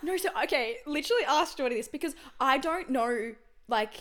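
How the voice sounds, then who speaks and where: A young woman co-hosting a podcast, chatting loudly and excitably, quick to laugh, speaking into a handheld mic she keeps away from her mouth. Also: high voice. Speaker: podcast co-host. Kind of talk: casual chat. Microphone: handheld mic held away from mouth.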